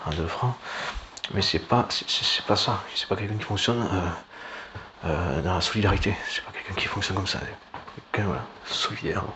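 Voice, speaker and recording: A man giving a rambling, informal political monologue in a halting, sardonic way, with slang and swearing. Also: low voice. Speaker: online political commentator. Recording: clearly noisy background.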